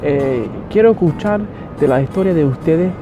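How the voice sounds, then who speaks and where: A young man from Miami, vlogging casually outdoors and talking with a hesitant, halting manner full of restarts. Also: a little high, animated. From Miami, United States. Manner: hesitant, many restarts and fillers. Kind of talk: casual talk to camera. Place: outdoors in a city. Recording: dull lo-fi audio with loud background music.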